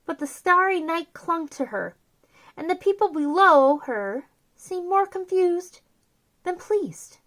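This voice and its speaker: Very high-pitched, animated woman storyteller. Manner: theatrical, drawn-out emphasis on key words. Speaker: children's storyteller, host. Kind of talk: expressive picture-book read-aloud.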